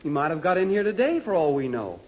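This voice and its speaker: Excitable, blunt teenage boy, played by a radio actor, doubting but eager, very animated, dull vintage sound. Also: mid-range voice, fast talker, natural voice. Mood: brash doubt. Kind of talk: old-time radio drama acting. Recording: muffled, hissy old radio recording.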